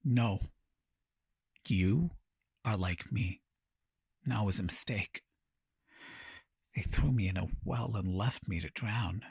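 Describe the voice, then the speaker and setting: A rejected, secretive madman living wild on an island. His low male voice is badly husky and breathy, with halting, deliberate speech in an audio drama style. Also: a little mellow, dry recording, clean studio sound, exaggerated voice. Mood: intense.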